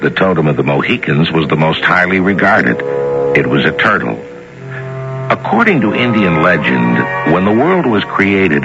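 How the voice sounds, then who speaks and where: A man hosting and narrating a radio adventure with dramatic scripted delivery. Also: low-pitched. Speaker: narrator and host. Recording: muffled vintage radio recording.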